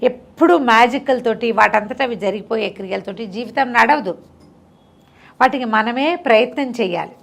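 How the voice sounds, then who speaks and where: A middle-aged woman storyteller with a fairly high voice touched with creak. She tells folk tales conversationally, repeating words for emphasis and stepping aside to spell out the moral in simple terms. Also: normal speed. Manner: didactic, explaining the moral simply.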